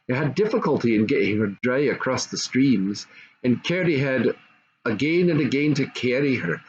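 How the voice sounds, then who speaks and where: A man's voice: mid-range voice, lively intonation, normal speed. An older man reading a classic fairy story aloud as a storybook narrator. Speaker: storybook reader. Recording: dull home recording with faint noise.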